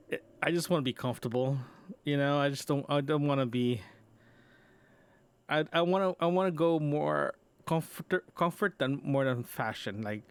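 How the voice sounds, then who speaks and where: A man's voice: mid-range voice, clean tone, no room sound. A man chatting casually in a personal audio diary, rambling and often repeating and rephrasing himself. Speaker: podcast host.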